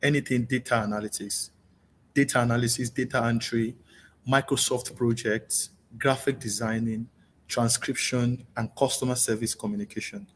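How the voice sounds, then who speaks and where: A man's voice: mid-range voice, fairly even intonation, normal speed, a little hiss or hum. A Nigerian man answering interview questions about his work, politely thanking the host again and again. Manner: polite, repeats "thank you so much". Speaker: job seeker guest.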